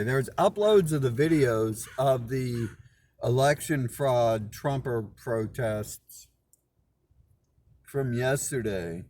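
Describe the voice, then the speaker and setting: A man's voice: medium pitch, a little hiss or hum. Profane, rambling, indignant man. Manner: profane, repeats words and restarts sentences; indignant, suspicious. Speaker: channel host. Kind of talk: casual rambling monologue.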